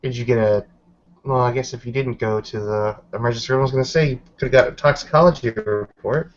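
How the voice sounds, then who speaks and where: A man voicing a cautious, questioning woman in a tabletop roleplaying game, speaking in a measured, hesitant way. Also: medium pitch, lo-fi sound, natural voice. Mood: probing.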